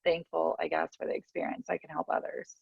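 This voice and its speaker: A woman's voice: low-pitched, monotone, fairly quick, gravelly, a little mellow, slightly rough, no echo. A woman, telling a personal story candidly as an interview guest. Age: 30s. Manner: candid, chatty, lots of fillers. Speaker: podcast guest. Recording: dry, slightly muffled audio.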